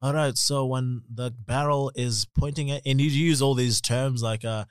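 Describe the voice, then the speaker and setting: A man's voice: mid-range voice, fairly even intonation, moderate pace, smooth, no room sound, studio quality. A man co-hosting a podcast in casual chat, rambling, swearing and laughing often. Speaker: podcast co-host. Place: podcast studio.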